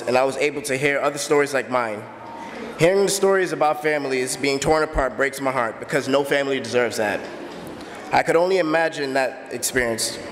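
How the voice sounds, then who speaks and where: A man in his early twenties from the Bahamas, earnestly telling his own story as a steady personal testimony. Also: medium pitch, big-room sound, slight background noise. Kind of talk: personal testimony, read aloud.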